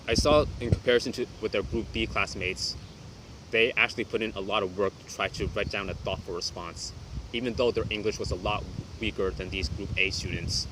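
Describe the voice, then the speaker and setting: A young man who teaches English, talking through a reflective vlog in a quiet, reserved way with hesitant restarts. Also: on the low side, background noise.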